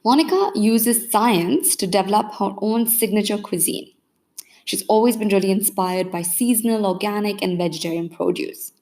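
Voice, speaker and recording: A woman's voice: mid-range voice, normal speed, a little mellow. A woman hosting a podcast, reading a guest introduction and asking questions with upbeat enthusiasm. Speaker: podcast host. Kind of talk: read introduction and interview questions.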